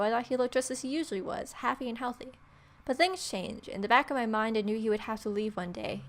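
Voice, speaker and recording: A young adult woman hosting a let's play, reading visual novel narration aloud in an irreverent, expressive way. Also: medium pitch. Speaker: gaming video host.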